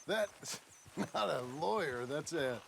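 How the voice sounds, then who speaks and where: Casting: a gruff yet tender frontier dad, gravelly Western American drawl, expressive range, for a Western game. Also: male voice, fairly high pitch, slight background noise, exaggerated voice. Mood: reflective. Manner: folksy, halting. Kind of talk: naturalistic video game acting.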